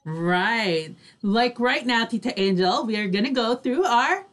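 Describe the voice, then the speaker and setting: A woman with a fairly high voice co-hosts a casual online show. She is chatty and emphatic, readily agreeing and adding to the conversation. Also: animated, a little slow, fairly bright tone. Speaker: co-host.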